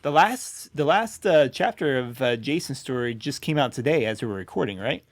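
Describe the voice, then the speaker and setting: A man's voice: medium pitch, lively intonation, moderate pace. A man co-hosting a podcast in casual conversation, talking in a loose, hesitant way. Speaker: podcast co-host and fiction writer.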